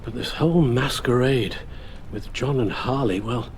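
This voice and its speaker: A man's voice: mid-range voice, unhurried, slight background noise, close to an everyday voice. An actor playing a loyal, anxious older British butler with a formal, fatherly manner in a video game. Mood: weary.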